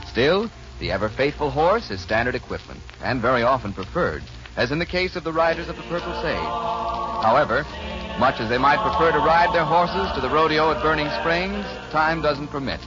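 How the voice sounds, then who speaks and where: A man's voice: fairly high pitch, lively intonation, moderate pace. A man working as a radio announcer, reading scripted narration in a polished 1940s storytelling style. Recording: dull 1940s radio recording, faint music.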